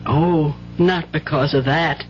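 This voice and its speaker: A woman's voice: low voice, fairly even intonation, slow, faint music behind. An actress playing a weary, wary working-class wife and mother with an American accent, plain-spoken and guarded, in a radio drama. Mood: hurt, wary. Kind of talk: old-time radio drama acting. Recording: dull, noisy 1970s radio recording with hum.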